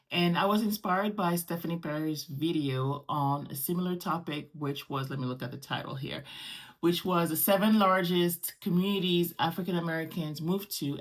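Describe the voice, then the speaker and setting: A middle-aged woman who grew up in Morocco speaks in a low-pitched voice. French is her native language. She hosts in a casual, conversational vlog style, building her points with repeated phrases. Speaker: host.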